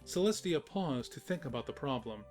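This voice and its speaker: A man's voice: medium pitch, expressive, normal speed, a little mellow, soft background music. A man narrating a scripted comic story in a storytelling manner with wry asides.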